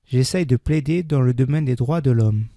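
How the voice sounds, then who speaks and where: Creaky-voiced man reading French slowly. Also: mid-range voice, dark tone, no room sound. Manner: repeats each sentence, deliberate diction. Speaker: French language teacher. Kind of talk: slow scripted reading for learners.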